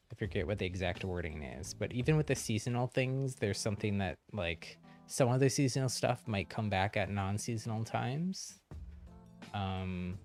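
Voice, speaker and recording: A man hosting a game livestream, explaining things casually in a rambling, easygoing way with a gravelly voice. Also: mid-range voice, a little mellow.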